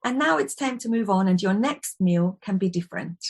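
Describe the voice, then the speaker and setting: A woman's voice: medium pitch, lively intonation, fairly quick, vocal fry, breathy edge. Woman, 40s, chatty wellness expert being interviewed, conversational. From Austria. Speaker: guest, wellbeing expert and yoga teacher.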